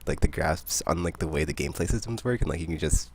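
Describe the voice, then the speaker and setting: A man hosting a podcast, chatting about games in a rambling, excitable way. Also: rumbling low, fast talker, creaky, a little hiss or hum. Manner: rambling, excitable, laughs often. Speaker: podcast host. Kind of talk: casual gaming chat.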